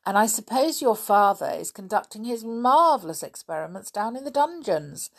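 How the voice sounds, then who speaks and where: A woman reader puts on a genial, curious gentleman from a children's storybook. He chats sociably and probes with questions, his pitch bouncing up and down. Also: expressive, moderate pace, vocal fry. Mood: probing. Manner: sociable, probing, chatty. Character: genial, inquisitive grown man, jolly company. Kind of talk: children's storybook character reading.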